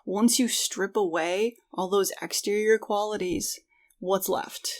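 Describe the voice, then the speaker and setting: A woman's voice: mid-range voice, steady tone, slow. A woman co-hosting a conversational podcast, speaking in an earnest, reflective way. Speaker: podcast co-host.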